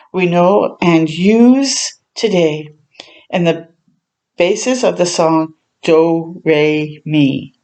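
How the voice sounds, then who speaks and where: A woman reading a children's story aloud as a storytime host, adding gentle chatty reactions as she goes. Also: lowish voice, poor audio. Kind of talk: read-aloud narration with chatty asides.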